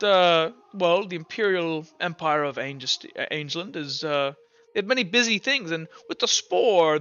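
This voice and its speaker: A man voicing an older, devout and wary priest of a temple, speaking haltingly with many fillers. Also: on the high side, sharp tone, no room sound, lo-fi sound. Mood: apologetic.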